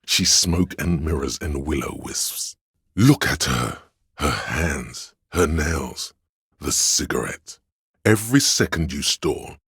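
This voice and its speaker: An actor voicing the grandiose, courtly inner voices of a detective in a video game, gravelly and husky, with a dramatic, archaic, declamatory delivery. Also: male voice, rumbling low, a bit bright, no echo, clean studio sound, exaggerated voice. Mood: suspicious, stern. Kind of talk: theatrical video game voice lines.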